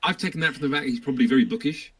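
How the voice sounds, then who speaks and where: A man chatting casually as a podcast guest, with halting restarts and a dry, understated humour. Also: mid-range voice. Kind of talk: casual chat.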